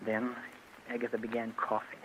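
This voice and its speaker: A man's voice: medium pitch, completely flat delivery, normal speed, close to an everyday voice. An actor playing a tormented, scheming husband confessing his story in a melodramatic old-time radio drama style. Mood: brooding, confessional. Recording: muffled, noisy old radio recording.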